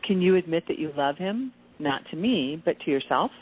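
A woman playing a calm, cool, sanctimonious friend who pries into feelings in a radio play. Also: sits low, moderate pace, breathy edge. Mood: earnest, soothing. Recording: thin phone-like audio.

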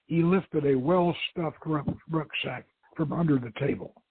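A man's voice: fairly high pitch, a little slow, slightly rough. A man reading an adventure story aloud as a dramatic narrator and host. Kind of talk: dramatic audiobook-style narration. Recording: tinny band-limited radio stream, roomy echo.

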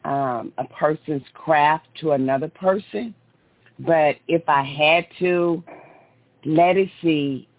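A man with a raspy voice on a radio show, enthusiastically encouraging a guest. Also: a little high, slow pace. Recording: tinny phone-like line.

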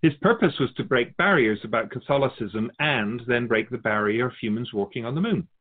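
A man playing a wise otherworldly guide of souls, teaching in a measured, philosophical and gently instructive conversational way. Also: medium pitch, expressive, phone-quality sound, close to an everyday voice. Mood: reflective, explaining. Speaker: guest answering questions. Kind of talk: conversational spiritual teaching, question and answer.

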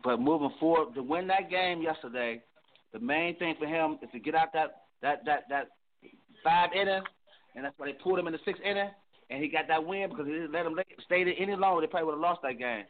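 A man's voice: fairly high pitch, fast. A man on a sports talk panel giving a loose, rambling take full of restarts. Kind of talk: sports analysis. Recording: noisy phone-line audio.

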